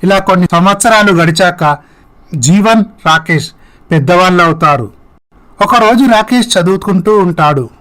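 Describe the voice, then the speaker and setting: High-pitched male story narrator. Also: animated, normal speed, fairly bright tone. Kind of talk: scripted moral story narration with acted dialogue.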